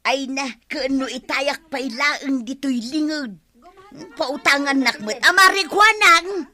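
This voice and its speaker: A woman's voice: high voice, measured pace, bright, forceful tone, full character voice. A woman with an Ilocano Filipino accent playing a pushy, gossipy, scheming village neighbour in a radio comedy. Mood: pleading, pushy. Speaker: radio drama actress. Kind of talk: broad radio comedy acting.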